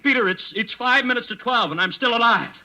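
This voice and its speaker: An actor playing a nervous, domineering count who fears death, stammering, agitated and mocking in 1940s radio drama style. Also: male voice, very high voice, a little fast, pushed and bright, heavily acted. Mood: agitated, rambling. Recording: muffled vintage radio recording.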